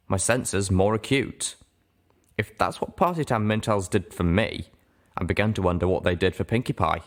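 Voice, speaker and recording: A man reading scripted first-person fiction as an audiobook narrator, measured and dramatic, drawing out some words. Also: sits low.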